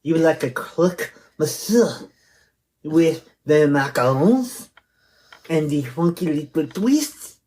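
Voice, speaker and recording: A man's voice: a little high, expressive, slow pace, darkish tone. A man co-hosting a food podcast, joking and bantering playfully in casual chat. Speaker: podcast co-host.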